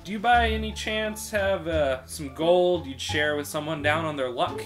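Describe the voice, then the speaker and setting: A man's voice: fairly high pitch, moderate pace, fairly bright tone, soft background music, natural voice. A man voicing a down-on-his-luck thief in a story reading, with a sarcastic, half-hearted, muttering manner. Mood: wary.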